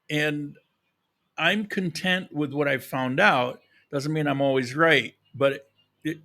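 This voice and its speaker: A man with a fairly high, very bright and forward voice hosts a casual livestream. He addresses his viewers directly in an informal, conversational way. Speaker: live podcast host.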